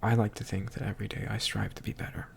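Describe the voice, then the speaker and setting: A slightly tipsy man recording a confessional audio diary, speaking in a halting, hushed, introspective way that often trails into a whisper. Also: lowish voice, flat delivery, vocal fry, slight background noise. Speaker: audio diarist.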